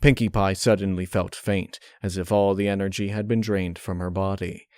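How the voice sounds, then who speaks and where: A man reading a dark fan fiction story as narrator, in a measured, dramatic way. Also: low voice, level tone, dry recording, clean studio sound. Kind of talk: dark audiobook-style narration.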